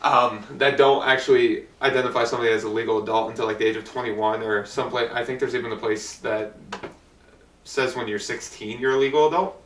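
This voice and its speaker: Young man, bright voice, echoey room. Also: mid-range voice, steady tone, fairly quick, distant mic, slight background noise. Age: young adult. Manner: rambling, swears casually. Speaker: podcast guest. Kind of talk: casual chat.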